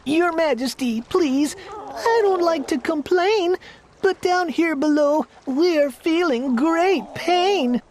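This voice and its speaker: A woman's voice: very high voice, lively intonation, slow, darkish tone, voice pushed up, heavily acted. A woman voicing a meek little male turtle at the bottom of a stack, pleading and plaintive.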